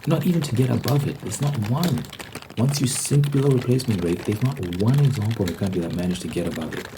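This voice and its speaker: A man's voice: mid-range voice, lively intonation, fast, noisy background. A man giving a casual, rambling monologue as a vlogger, repeating phrases for emphasis. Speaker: ASMR vlogger.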